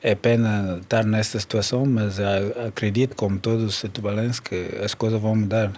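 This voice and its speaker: Calm low-voiced man from Cameroon. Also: moderate pace. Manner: calm, hesitant, with fillers and soft laughs. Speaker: former footballer, podcast guest. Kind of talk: casual chat.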